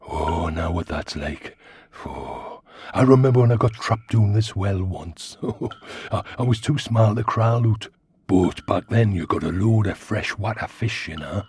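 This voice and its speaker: Comic creature role: chatty Geordie giant worm, dark gravel-husky voice, rambling and cheeky. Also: male voice, fairly low pitch, a little slow, heavily acted. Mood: chatty, amused. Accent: Geordie, northeast England. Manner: rambling, blunt, colloquial. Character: cheeky, chatty twenty-foot talking lantern worm. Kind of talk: comic radio sitcom acting.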